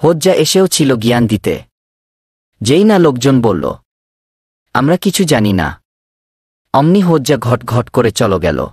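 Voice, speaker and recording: Casting: man voicing earnest scheming cartoon villager, persuasive, husky, very animated delivery. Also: medium pitch, measured pace, pauses often, dry recording, clean studio sound. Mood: dejected.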